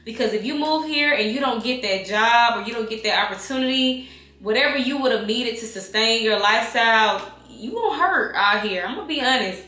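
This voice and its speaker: A woman's voice: a little high, lively intonation, a little fast, sharp tone, roomy sound. A woman in her thirties answering viewer questions in a casual vlog, candid and slangy, calling listeners honey and baby. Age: 30s.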